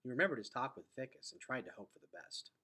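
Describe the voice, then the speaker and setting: A man reading a storybook aloud as host. His voice sits at a medium pitch with very animated intonation and a husky texture. The narration is expressive. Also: quick pace.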